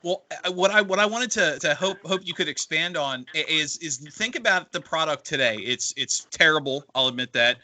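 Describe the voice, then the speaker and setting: A man's voice: fairly high pitch, lively intonation, moderate pace, few pauses, pushed and bright. A man co-hosting a podcast who rambles and often restarts his sentences. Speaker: podcast co-host. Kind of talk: casual podcast panel chat.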